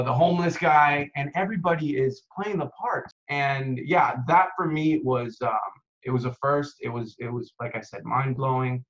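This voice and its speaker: A man in his thirties from Costa Rica, an actor chatting casually in an interview over a video call, laid-back and full of "dude" and "man". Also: medium pitch. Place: home room with window, indoors. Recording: dull lo-fi video call audio.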